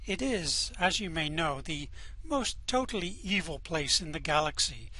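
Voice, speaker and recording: A man's voice: fairly high pitch, sing-song, normal speed, bright, forceful tone, breathy edge, no echo, full character voice. A man voicing a cheerfully evil male alien prison official with a smarmy, falsely polite way of talking, in an amateur radio play reading.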